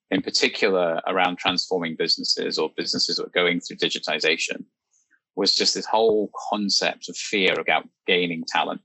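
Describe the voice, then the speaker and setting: A man hosts a podcast in an easy, conversational way, thinking aloud and then handing the floor to his guest. His voice sits very low and has a raspy, breathy grain. It still carries a bright, forceful edge. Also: no room sound, low-quality recording. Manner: thoughtful, asks open questions. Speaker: podcast host. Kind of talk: casual conversational discussion.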